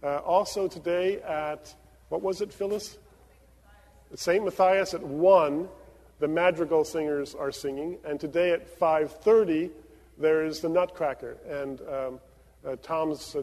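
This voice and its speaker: A man's voice: a little high, steady tone, a little slow, a little mellow. A man from Venezuela, a pastor leading prayers and giving announcements with many fillers. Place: church sanctuary. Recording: long reverb of a church sanctuary.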